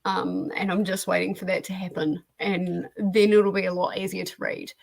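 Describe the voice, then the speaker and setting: Female livestream host, mid-range voice, informal conversational delivery for relaxed book talk. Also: medium pitch, normal speed, gravelly. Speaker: host of a reading sprint livestream. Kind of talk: casual livestream chat about books.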